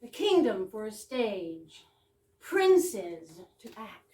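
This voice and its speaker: A woman's voice: medium pitch, big pitch swings, drawn-out words, warm tone. A woman hosting a readers theater evening, giving a live welcome speech with theatrical flourishes and chatty asides. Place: room in a private home with a small audience. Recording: echoey room, distant mic, background noise.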